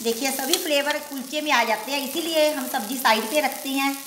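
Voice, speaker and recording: Woman cooking show host, high bright voice, walks you thru a recipe step by step at home, echoey kitchen w sizzling pan behind. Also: brisk, slight background noise. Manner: homely step-by-step explaining with filler "ji".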